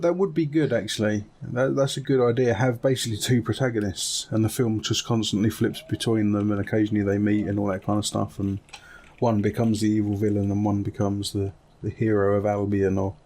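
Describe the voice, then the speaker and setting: A man's voice: on the low side, fairly even intonation, a little fast, darkish tone, slight background noise. A man hosting a gaming podcast in a casual, conversational style. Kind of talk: casual chat.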